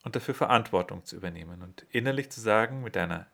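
Calm man guiding a meditation. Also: lowish voice, gravelly. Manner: slow, calm, soothing instructions with pauses. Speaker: meditation guide. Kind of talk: guided meditation. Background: soft instrumental music.

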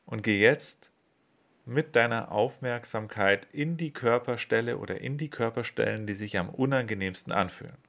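A man leading a guided body-awareness meditation in a slow, calm, soothing way. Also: medium pitch, gravelly.

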